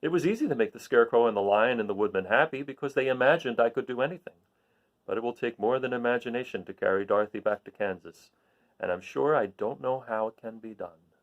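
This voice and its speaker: A man's voice: mid-range voice, fast, lo-fi sound, close to an everyday voice. A man voicing a little humbug wizard in a storybook, courteous and reassuring. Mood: rueful.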